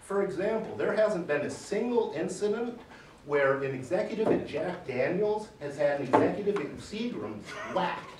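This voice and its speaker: Loud, forceful male witness, forties, off the cuff; distant camera mic, echoey hearing room. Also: high-pitched, lively intonation, moderate pace, noisy background. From Michigan. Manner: emphatic, gesturing, pounds the table. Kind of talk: unscripted testimony. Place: large wood-panelled hearing room. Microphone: far camera.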